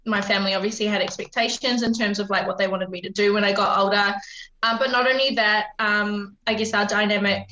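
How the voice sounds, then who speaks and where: A young woman with a New Zealand accent talks through her upbringing in an open, reflective way, often pausing on "um." Her voice is bright and edgy. It comes over a remote call, muffled and echoing in a roomy space. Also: mid-range voice, fairly even intonation. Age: 20s. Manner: open, reflective, many "um" fillers. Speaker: podcast guest. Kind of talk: casual conversational storytelling.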